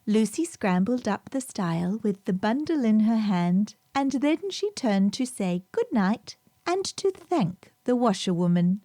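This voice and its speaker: A woman reads a children's story at a leisurely pace. Her narration is expressive, with lively rises and falls and dramatic emphasis. The voice is recorded dry, with gentle music behind it. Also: medium pitch, unhurried, hardly any pauses, no echo. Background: gentle background music.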